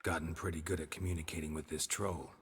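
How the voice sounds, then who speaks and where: An actor playing a stoic, dryly wry monster hunter in a fantasy video game, speaking in a terse, deadpan way. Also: male voice, extremely low pitch, quick pace, slightly rough, exaggerated voice. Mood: dry, probing.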